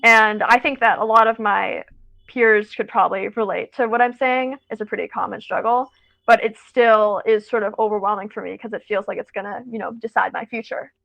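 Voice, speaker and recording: A woman's voice: mid-range voice, fairly even intonation, a little fast, creaky, fairly bright tone, dull audio. A teenage American girl chatting over a call, rambling with lots of fillers and self-corrections. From United States. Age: teens. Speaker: student guest. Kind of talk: casual conversation.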